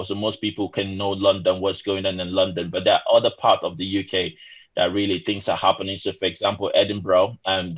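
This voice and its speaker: A man in his forties, originally from Nigeria, answering interview questions earnestly over a remote call, with many fillers and restarts. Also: sits low. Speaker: podcast guest. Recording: muffled lo-fi remote call audio.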